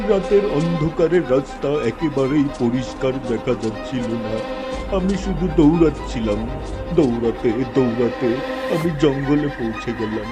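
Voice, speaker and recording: A man narrating a scripted horror story in the first person, in a dramatic style. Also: mid-range voice. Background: music, night insects.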